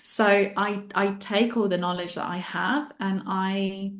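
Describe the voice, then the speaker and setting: A woman's voice: medium pitch, steady tone, takes it slowly. Woman in a roomy echo. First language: Italian. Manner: enthusiastic, explanatory, uses analogies. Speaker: human design guide, guest. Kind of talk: interview answers, explaining.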